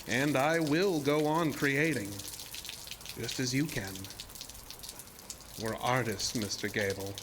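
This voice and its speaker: A man's voice: mid-range voice, noisy background, natural voice. A man playing a sinister, seductive illusionist showman in a horror audio drama, speaking in a theatrical, formal and insinuating way. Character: sinister, seductive showman-illusionist, deceptively ageless male villain.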